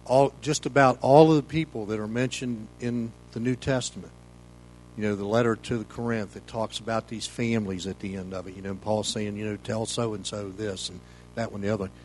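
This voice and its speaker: A man's voice: lowish voice, steady tone, fairly quick, darkish tone, slight background noise, rough recording. A middle-aged or older American man giving an informal sermon as a pastor, in a folksy, rambling, conversational way full of "you know.". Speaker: pastor leading a Bible study.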